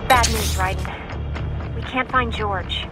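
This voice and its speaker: An actress playing a worried, earnest mission support operator in a video game. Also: female voice, mid-range voice, slow pace, loud music behind the voice, natural voice. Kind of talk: video game radio-call acting.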